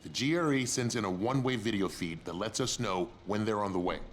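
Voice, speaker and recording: Casting: male actor for blunt game survivor who hands out jobs, lowish male voice, sardonic, faint ambience behind. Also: brisk, a little hiss or hum, lightly acted. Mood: businesslike, grim. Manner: curt, sardonic, instructive. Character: gruff, no-nonsense male survivor handing out jobs. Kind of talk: video game dialogue acting.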